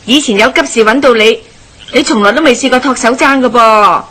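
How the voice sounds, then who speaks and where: An actress playing a warm-hearted, nosy middle-aged village wife with a Hong Kong Cantonese accent, gossipy and exclamatory, in a radio drama. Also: female voice, on the high side, a little fast, poor audio. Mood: chatty, solicitous.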